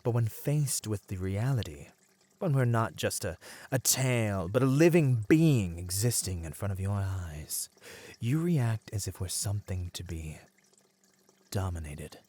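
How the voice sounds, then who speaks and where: A man's voice: on the low side, expressive, measured pace, darkish tone. A man voicing a proud, bitter, melancholy captive merman in an ASMR roleplay, speaking in formal, eloquent phrases. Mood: challenging, bitter. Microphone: close studio mic, dry.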